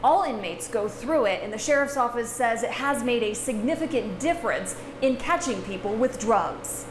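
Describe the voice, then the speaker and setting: A woman reporter presents on location in a fairly high voice. The sound is roomy, with clear background noise. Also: lively intonation, moderate pace. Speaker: TV news reporter.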